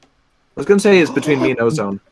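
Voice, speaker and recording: A man co-hosting a casual fan podcast over an online call, bantering quickly and poking fun at himself. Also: medium pitch, sing-song, crowd noise. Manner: self-mocking, quick banter. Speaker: podcast co-host. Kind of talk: casual chat.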